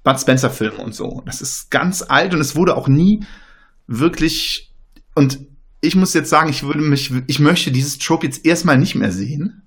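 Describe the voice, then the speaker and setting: A man's voice: on the high side, animated, unhurried. A man who stutters, speaking in a casual panel discussion, with many fillers and restarts.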